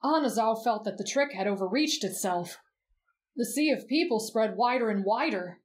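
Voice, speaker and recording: A woman voicing a gentle teenage boy who reads in a soft, measured, expressive storybook way for roleplay ASMR. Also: fairly high pitch, natural voice. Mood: measured storytelling.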